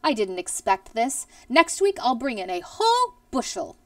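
Woman acting bewildered nice schoolteacher, storybook character, incredulous, high, swooping intonation, stubborn. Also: very animated, normal speed, fairly bright tone, dry recording, far from the mic, heavily acted. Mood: sweetly apologetic. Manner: exclaiming, incredulous.

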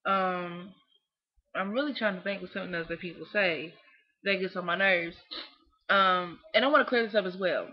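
A woman's voice: lowish voice, moderate pace. Emphatic young Southern woman on a lo-fi webcam. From Southern US, Kentucky. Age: young adult. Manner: emphatic, scolding, repeats "you know what I'm saying". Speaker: vlogger. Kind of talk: opinionated webcam rant. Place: small dim room indoors. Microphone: webcam close up. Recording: dull lo-fi webcam audio.

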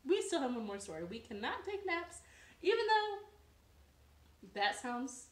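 A woman's voice: sits high, big pitch swings, slow. A young adult woman, a children's storyteller, giving a storytime for young children. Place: living room, indoors. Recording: roomy living-room sound.

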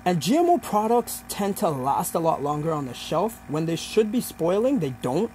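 A man's voice: on the high side, sing-song, moderate pace, darkish tone, soft background music. A young man giving an informal, explanatory student presentation in a hesitant way, feeling out his points as he talks. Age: young adult.

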